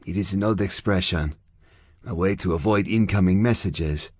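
A man's voice: sits low, normal speed, darkish tone, husky, no echo, studio quality. A man voicing a fierce, foul-mouthed young gang girl with an intense, theatrical delivery. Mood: explaining. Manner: intense, theatrical, non-native phrasing.

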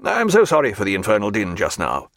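A man reading aloud voices a fussy, excitable old naval captain who blusters as he talks. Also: lowish voice, fast, dry recording, clean studio sound, full character voice. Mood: blustering.

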